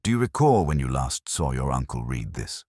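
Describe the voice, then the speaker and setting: Probing male detective of Victorian fiction, read by a man, very deep, formal, measured, dry close sound. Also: big pitch swings, a little mellow, dry recording, heavily acted. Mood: quietly inquisitive. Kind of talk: audiobook character dialogue.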